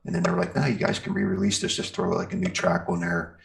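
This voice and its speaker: American man, very deep pitch, gravelly fry, casual interview storytelling, rambling delivery. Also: flat delivery, fast. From Pennsylvania, United States. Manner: rambling, peppers talk with "you know" and "like". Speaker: interview guest, metal band singer and guitarist.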